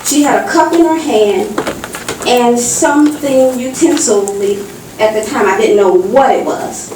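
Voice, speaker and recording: A woman in her fifties gives personal testimony to a public meeting, phrasing it deliberately and dramatically. The sound is distant and swimming in hall echo, with faint noise. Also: fairly high pitch. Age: 50s. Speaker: audience member giving testimony. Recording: distant mic in very echoey hall.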